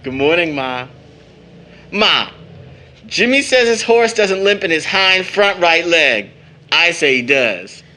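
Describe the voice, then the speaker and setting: A man's voice: on the high side, very animated, slow pace, bright, forceful tone, full character voice. A man playing a cheerful, bantering older brother, a young man given to playful mock-grand speech, in an audio drama. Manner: playful, florid mock formality.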